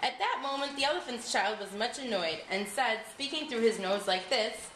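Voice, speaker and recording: A woman narrating a scripted storybook tale in an expressive, deliberate way, recorded in an echoey room with faint noise. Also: on the high side.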